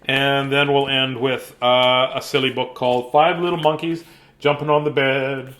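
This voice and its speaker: A man's voice: medium pitch, level tone, moderate pace, a bit bright. A man chatting casually as host of a bedtime story reading, playful and self-deprecating, with joking asides.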